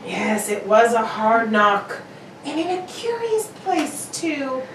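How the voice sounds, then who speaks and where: A brisk and confident gentleman detective, acted by a woman, pitched high and very animated. The reasoning comes out precise and probing, with theatrical emphasis. It sounds like a radio mystery recorded in a roomy space. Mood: keen, curious. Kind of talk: old-time radio mystery acting. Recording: roomy, distant, noisy home recording.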